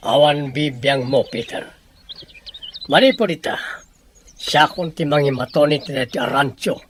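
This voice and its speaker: Man acting a high-pitched, domineering villainous Filipino uncle. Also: expressive, unhurried, a little hiss or hum. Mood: dismissive, stern. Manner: gruff, dismissive, commanding. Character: greedy, domineering villainous uncle grabbing a ranch. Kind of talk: Ilocano radio-style audio drama acting.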